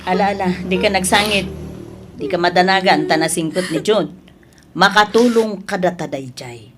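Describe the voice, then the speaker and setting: A woman's voice: fairly low pitch, normal speed, crowd noise, lightly acted. A woman playing an emotive middle-aged mother in a melodramatic audio drama, exclaiming as she talks. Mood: soothing. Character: middle-aged mother, doting on suitor, scolding daughter.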